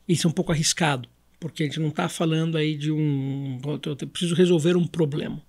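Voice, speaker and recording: A Brazilian man with a notably high voice talks as a podcast guest. He explains things conversationally and didactically, often repeating phrases and answering his own questions. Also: steady tone, brisk, darkish tone. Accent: Brazilian Portuguese.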